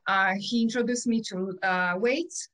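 A woman's voice: medium pitch, a little slow, fairly bright tone. A middle-aged woman with a Polish accent, a gym owner telling her story casually in an interview, with many fillers and restarts. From Poland. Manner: chatty, many fillers and restarts, quotes herself. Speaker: gym owner and personal trainer.

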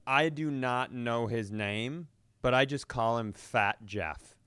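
A man with a crisp, clear voice and average pitch tells a casual comic story as a podcast co-host. He is indignant and profane, acting out both sides of exchanges. The sound is dry and close. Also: takes it slowly, a bit bright, dry recording.

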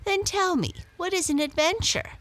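A woman voicing a chatty, curious female house cat in a storybook, pitching her voice high and asking eager questions. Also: moderate pace, fairly bright tone, low-quality recording.

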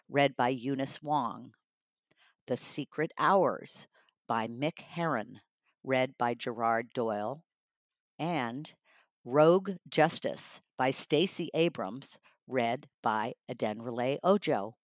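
A woman's voice: very deep voice, dry recording. A woman hosting an audiobook podcast, introducing and interviewing guests in a presenter's style. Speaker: podcast host and magazine editor.

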